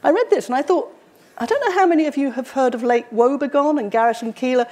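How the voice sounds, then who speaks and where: A woman, giving a university public lecture in a conversational, wry way, her voice slightly croaky. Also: high voice, fast talker, darkish tone. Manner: conversational, wry, with frequent fillers. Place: university lecture hall, indoors.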